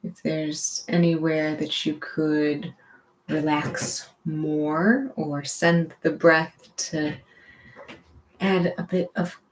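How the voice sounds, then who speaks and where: Slow, low-pitched woman guiding meditation. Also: steady tone, poor audio. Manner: gentle, unhurried, reassuring, permissive. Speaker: yoga and meditation teacher. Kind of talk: guided gentle movement and meditation instruction.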